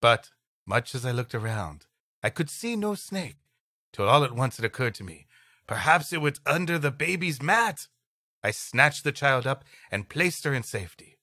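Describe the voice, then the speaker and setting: A man's voice: mid-range voice, big pitch swings, normal speed, bright, forceful tone, no room sound, clean studio sound. A man co-hosting a comedy podcast, reading an old adventure story aloud expressively and dropping in playful asides. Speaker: podcast co-host.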